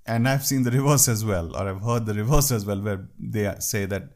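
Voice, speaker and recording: A man with an Indian English accent gives a talk on working with foreign clients. His voice sits fairly low, and he speaks in a loose, conversational way, dropping in wry asides. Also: moderate pace, darkish tone. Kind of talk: talk on workplace culture.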